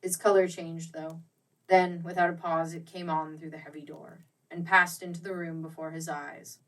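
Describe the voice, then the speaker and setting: Low-voiced woman reading a storybook, slightly distant. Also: level tone, normal speed. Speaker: audiobook reader. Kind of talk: dramatic storybook narration of a classic ghost tale.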